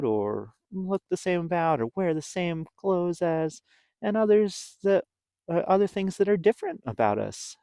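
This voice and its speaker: Children's storytime host: slow, expressive delivery, simple and explanatory, in a close dry recording. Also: mid-range voice, no room sound. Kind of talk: animated storybook reading and chat to young children.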